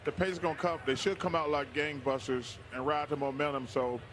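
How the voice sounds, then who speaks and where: A man's voice: fairly high pitch, steady tone, fairly quick, fairly bright tone. A man giving sports analysis in a panel debate, colloquial and insistent.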